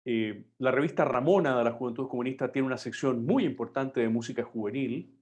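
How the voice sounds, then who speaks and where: A man's voice: medium pitch, a little fast, a little mellow. An older man from Chile, a history professor giving a live online class in a loose, conversational lecturing manner full of fillers.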